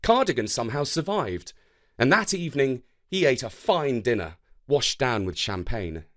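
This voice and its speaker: A man narrating scripted history and verse for children in a measured, dramatic way. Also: mid-range voice. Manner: measured, expressive, dramatic delivery. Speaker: storyteller narrator.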